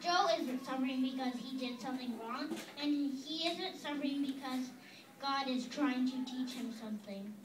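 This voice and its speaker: A woman's voice: high-pitched, normal speed, lightly acted. A woman voicing an earnest know-it-all kid puppet. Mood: explaining. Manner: explains patiently, corrects friends. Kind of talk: church puppet skit. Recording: echoey room from far off, noisy.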